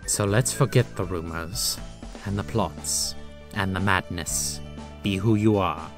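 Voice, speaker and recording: A man's voice: deep voice, takes it slowly, over music. A man voicing a formal, protective male school aide in an anime-style game dub, earnest and reassuring.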